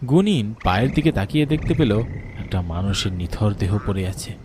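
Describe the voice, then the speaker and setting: A man's voice: lowish voice, expressive, moderate pace, background noise. A man narrating a scripted, dramatic spooky story, with music underneath.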